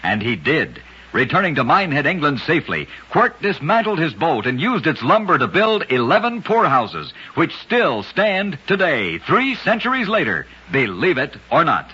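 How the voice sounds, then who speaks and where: A man tells strange tales in the style of a vintage radio host, with a very raspy, forceful voice. His delivery is emphatic and dramatic, swinging widely in pitch. The recording is dull and old. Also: huge pitch swings, normal speed. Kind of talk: scripted vintage radio storytelling of odd facts.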